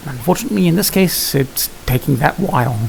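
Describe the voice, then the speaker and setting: Gravelly-voiced man muttering over gameplay. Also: a little high, animated, a little fast, poor audio. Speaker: gaming video host. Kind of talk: casual gameplay commentary. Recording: hissy home recording, background noise.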